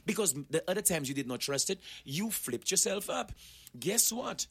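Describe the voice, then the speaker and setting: Husky, lively man in his forties. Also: high-pitched, normal speed. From Saint Lucia. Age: 40s. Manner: preachy, emphatic, addresses listeners directly. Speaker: guest, motivational author. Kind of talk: animated motivational talk.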